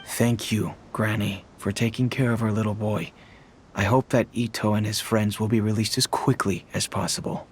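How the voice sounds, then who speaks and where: A man's voice: lowish voice, level tone, normal speed, slight background noise, lightly acted. An actor playing a polite, grateful village father in a video game, courteous and earnest.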